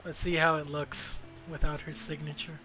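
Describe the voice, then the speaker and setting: A man's voice: fairly high pitch, little pitch movement, moderate pace, over loud music. A man giving casual gameplay commentary as a streamer, blunt and foul-mouthed, often muttering to himself. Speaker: game streamer. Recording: clearly noisy background.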